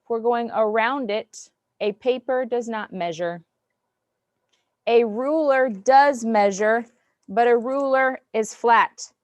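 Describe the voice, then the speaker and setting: A woman's voice: on the high side, slow, no echo. A woman teaching an online math class, counting through problems step by step. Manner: patient, step-by-step counting aloud. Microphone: video call.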